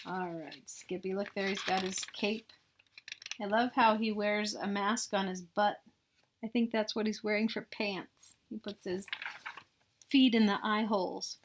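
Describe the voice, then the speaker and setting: A woman's voice: mid-range voice, fairly even intonation, measured pace, noisy background. A woman storyteller reading a children's picture book expressively, with chatty asides to young listeners. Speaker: read-aloud storyteller.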